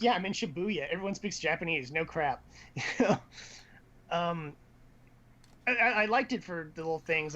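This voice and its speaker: Opinionated gaming stream co-host, mid-pitched voice, informal and a little sweary, dull lo-fi chat audio. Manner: informal, opinionated, mildly profane.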